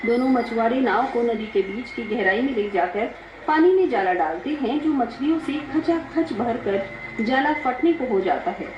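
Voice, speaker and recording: Fairly high-voiced woman narrator, echoey. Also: animated, moderate pace, noisy background. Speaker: story narrator. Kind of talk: scripted dramatic storytelling. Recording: echoey, dull, music behind.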